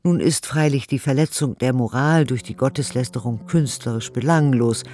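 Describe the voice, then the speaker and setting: A man's voice: medium pitch, moderate pace, a little mellow. A man giving a formal, articulate, declamatory reading of old letters and reviews for a radio play. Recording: studio radio production with music.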